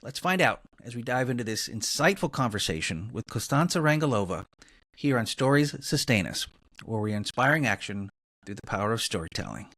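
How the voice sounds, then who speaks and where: A man's voice: mid-range voice, animated, a little fast, fairly bright tone, no echo, studio quality. A man speaking as a podcast host, introducing and interviewing guests.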